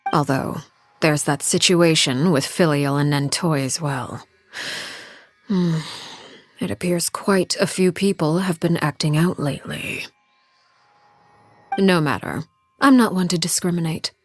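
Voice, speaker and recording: A cold, commanding matriarch of an orphan household, a game character who never raises her voice. Her tone sits low for a woman and stays calm, measured and quietly threatening. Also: no room sound, faint music behind. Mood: cool menace. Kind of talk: video game dialogue.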